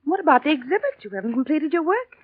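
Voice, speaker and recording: An actress playing a gentle, devoted invalid wife with an earnest, pleading manner in a 1940s radio drama. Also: female voice, high voice, big pitch swings, fast, dry recording. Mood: pleading, hopeful. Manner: soft-hearted, earnest, pleading. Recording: tinny, band-limited 1940s radio recording.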